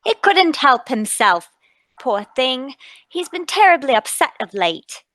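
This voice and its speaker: Woman acting kindly young working-class girl, radio mystery, raised pitch, plaintive, indignant, protective, dry sound. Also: big pitch swings, a little slow, a bit bright, no room sound, full character voice. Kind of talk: mystery radio play table read.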